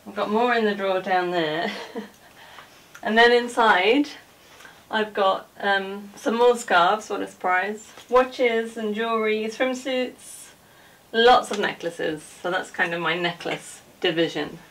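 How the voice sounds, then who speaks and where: Female vlogger, 40s, mid-pitched, casual room-tour chat, recorded a little away from the mic in a home room with faint noise. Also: unhurried, fairly bright tone. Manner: informal, rambling asides while moving about showing things. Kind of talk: casual chatty vlog talk. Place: indoors, small home dressing room. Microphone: camera a few feet away. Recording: distant camera mic, background noise and clicks.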